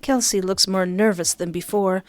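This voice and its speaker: A woman plays through a game, voicing the narration with playful expression and chatty asides. Her pitch is average for a woman, and quiet music runs behind her. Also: steady tone, normal speed. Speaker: game playthrough host. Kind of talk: reading game narration aloud with casual reactions.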